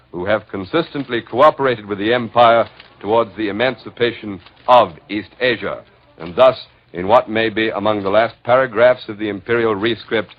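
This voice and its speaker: An American man, a radio news announcer delivering a 1940s bulletin and commentary in a grave, formal, oratorical manner. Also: lowish voice. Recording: dull 1940s studio radio recording.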